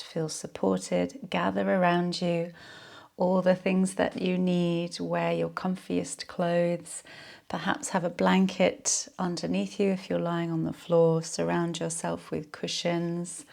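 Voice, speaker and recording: A woman leading a guided yoga nidra relaxation in a soothing, unhurried, soft-spoken way. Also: fairly low pitch, creaky.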